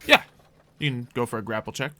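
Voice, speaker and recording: Bright-voiced man running a tabletop game. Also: medium pitch, fast talker. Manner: conversational, explanatory. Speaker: game master. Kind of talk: casual tabletop roleplay game narration.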